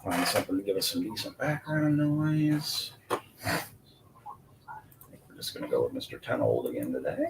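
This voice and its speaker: A man's voice: deep voice, normal speed, creaky, pauses often, background noise. A man with a stuffed nose, sniffling and casually explaining his coloring while drawing on a live stream. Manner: relaxed, explaining as he works. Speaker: comic artist.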